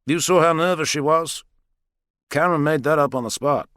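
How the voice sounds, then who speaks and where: A man's voice: a little high, moderate pace, fairly bright tone, dry recording, clean studio sound, pitched above the performer's own voice, natural voice. A man voicing a determined police officer of thirty with a probing, insistent manner in an audiobook. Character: questioning, determined thirty-year-old male police officer.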